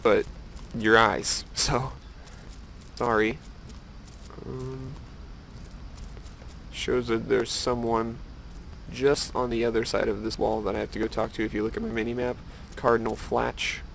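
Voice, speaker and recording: A man's voice: medium pitch, flat delivery, measured pace, vocal fry, pauses often, a bit bright, slight background noise, poor audio. A man giving casual, rambling game commentary with musing, wry asides to the viewer. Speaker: gameplay video host.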